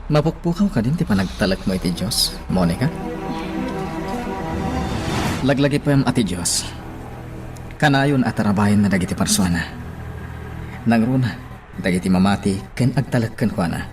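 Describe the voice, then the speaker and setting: Earnest Ilocano priest with lively intonation acted by a man. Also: lowish voice, a little fast, long pauses, over music, natural voice. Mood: reassuring, devout. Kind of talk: Ilocano radio drama acting.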